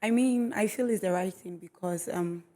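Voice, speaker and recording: A woman, a gospel singer, giving a slow, explanatory answer on a studio panel. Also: mid-range voice, warm tone.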